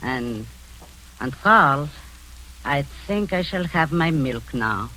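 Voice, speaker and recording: An actress in her sixties playing a domineering, sweetly sinister old woman in a 1940s radio drama, speaking softly and insistently with low chuckles. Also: lively intonation, slow pace, full character voice, poor audio. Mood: sinister, coaxing. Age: 60s. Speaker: Aunt Rita, Miss Crable. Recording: old radio broadcast with faint hiss.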